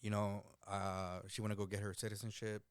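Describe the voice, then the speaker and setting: A man's voice: fairly low pitch, little pitch movement, a little fast, clean tone, dry recording, studio quality. A man in his early thirties co-hosting a podcast, blunt and laid-back, filling his talk with "like" and the odd curse. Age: 30s. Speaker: podcast co-host. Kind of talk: casual chat.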